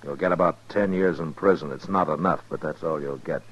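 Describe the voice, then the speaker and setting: A man's voice: rumbling low, brisk. An American man playing a hard, unflinching Old West marshal, terse and commanding, in 1950s radio western style. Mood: stern. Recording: muffled 1950s radio recording.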